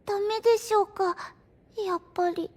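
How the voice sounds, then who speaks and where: A bashful, gentle small child of anime audio drama. The voice is tiny and extremely high, hesitant and apologetic in delivery. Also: female voice, steady tone, normal speed. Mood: timid. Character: timid, meek young child, gentle younger sibling.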